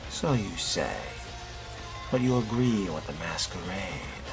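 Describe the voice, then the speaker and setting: Music plays behind a low-voiced man acting as a skeptical young vampire in a game. His delivery is slow and dry, with sardonic swings up and down. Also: lively intonation. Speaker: player voicing his game character. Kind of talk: role-play dubbing of game dialogue. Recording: background music under the voice.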